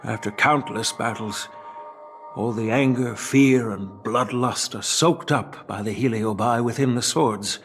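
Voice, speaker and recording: Male actor as older grandfather, game character, measured, earnest, grave, medium pitch, background music. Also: close to an everyday voice. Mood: solemn, grave. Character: earnest, solemn grandfather worried for his granddaughter. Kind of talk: dramatic English game voice acting.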